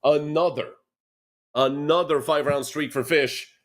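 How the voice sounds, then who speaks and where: A man's voice: on the high side, steady tone, measured pace. A young man from Serbia casting an esports match alone, chatting with viewers between plays. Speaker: esports caster. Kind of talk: solo play-by-play commentary.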